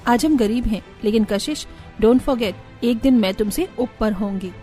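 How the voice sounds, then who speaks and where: A woman plays a young village daughter-in-law with a high voice, hardworking and feisty. It is cartoon dialogue with music underneath. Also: measured pace, warm tone, natural voice. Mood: defiant.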